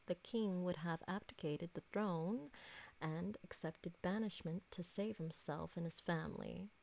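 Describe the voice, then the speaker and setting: A woman playing an elegant, possessive vampire noblewoman in a roleplay ASMR drama, speaking formally with deliberate diction and doting on her servant. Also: fairly low pitch, vocal fry, mellow. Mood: frustrated, measured.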